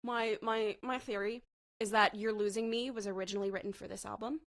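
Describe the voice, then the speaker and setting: A young woman from Texas co-hosting a casual podcast, gushing and excitable as she chats about pop music. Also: mid-range voice, fairly quick. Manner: excitable, gushing fan talk with laughs. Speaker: podcast co-host. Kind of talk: casual chat.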